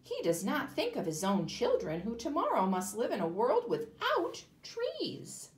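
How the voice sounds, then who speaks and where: A woman voicing a grave anteater parent who lectures firmly in a high voice. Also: huge pitch swings, unhurried. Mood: reproachful. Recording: echoey room.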